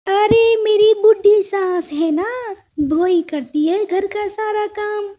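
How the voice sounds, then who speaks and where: A woman voicing a haughty, sharp-tongued young crow wife in a cartoon, bossy and scornful. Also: very high voice, slow pace, voice pushed up. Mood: scornful bragging. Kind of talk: cartoon story dialogue.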